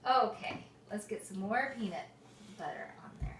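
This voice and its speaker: A woman reading a picture book aloud to young children, expressive and explaining things simply, like a mother at storytime. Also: mid-range voice, moderate pace. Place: echoey room with small children present. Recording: echoey room, distant mic, faint noise.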